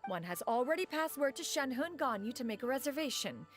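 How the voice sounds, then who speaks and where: A woman's voice: high-pitched, very animated, brisk, soft background music. Haughty, refined immortal woman. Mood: proud. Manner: haughty, archaic, formal, calls herself "one". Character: proud, refined, ancient immortal woman adjusting to city life. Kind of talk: video game character dialogue.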